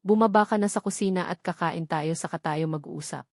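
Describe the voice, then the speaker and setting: A woman's voice: fairly low pitch, brisk, dry recording, studio quality. A woman as a cold, domineering rich man. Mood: stern, possessive. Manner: commanding, stern, switching between English and Tagalog. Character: domineering, cold, possessive billionaire man who holds her captive. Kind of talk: dramatic romance audio novel.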